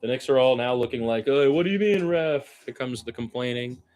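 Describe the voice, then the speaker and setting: Casting: male basketball play-by-play commentator, average pitch, informal and partisan, excitable when the action peaks. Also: brisk. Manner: candid, colloquial, excitable on big plays.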